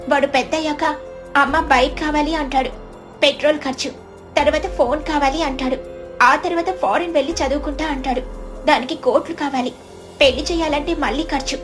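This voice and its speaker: A meek young sparrow wife from a cartoon story voiced by a woman, high-pitched and earnest. She sounds plaintive and anxious, over faint background music. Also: lightly acted.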